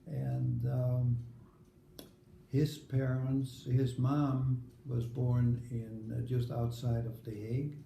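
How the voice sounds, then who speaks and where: Older Dutch-accented grandpa, gravelly and dark, halting storyteller in a roomy space. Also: male voice, medium pitch, a little hiss or hum. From Netherlands. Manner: unhurried, halting with many uh fillers. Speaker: grandfather being interviewed. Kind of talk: casual family interview.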